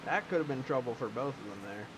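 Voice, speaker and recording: Casual race caller over loud engines. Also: male voice, mid-range voice, animated, quick pace. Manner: casual, rambling, with asides. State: losing his voice, clearing throat. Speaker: race commentator. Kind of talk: sim racing play-by-play commentary. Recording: loud engine noise behind. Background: race car engine sounds.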